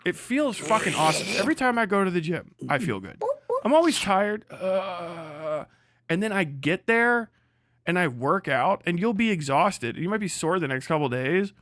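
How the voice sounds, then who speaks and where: A man's voice: sits high, very animated, normal speed, fairly bright tone, no room sound, clean studio sound. A man on a casual livestream rant, blunt and hectoring, swearing heavily as he speaks. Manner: mocks others in put-on voices. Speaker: livestream host.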